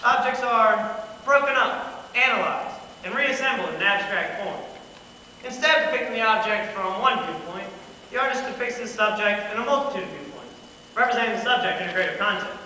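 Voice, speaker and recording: A man's voice: high-pitched, brisk, sharp tone. A man acting an eager, effusive newspaper photographer in an amateur stage play. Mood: enthusiastic, admiring. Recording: echoey hall from far off, faint noise.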